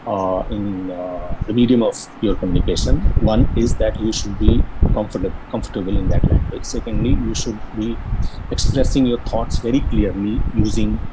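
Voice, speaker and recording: Low-voiced man with a Bihari accent. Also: steady tone, normal speed, noisy background. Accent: Bihari-Jharkhand Indian English. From Jharkhand, India. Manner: earnest, explanatory, frequent restarts and repetitions. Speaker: career guidance speaker. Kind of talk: online lecture on interview skills.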